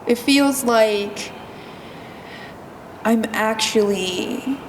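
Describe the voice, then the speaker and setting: A young woman with a Japanese accent, a singer answering interview questions softly and thoughtfully, with many fillers. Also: on the high side, recorded from a distance. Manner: soft, thoughtful, slow with many fillers and giggles. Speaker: singer-songwriter guest. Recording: echoey convention room with noise.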